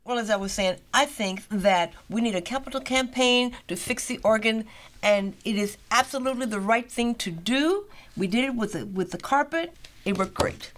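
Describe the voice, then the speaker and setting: A woman's voice: on the high side, normal speed, noisy background, close to an everyday voice. A woman playing an insistent, emphatic church member defending tradition in a comic training film.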